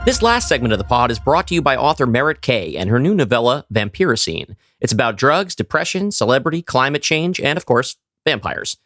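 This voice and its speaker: A man's voice: medium pitch, big pitch swings, brisk, few pauses, a bit bright, no room sound, studio quality, faint music behind. A man delivering a scripted, personal sponsor read for a podcast.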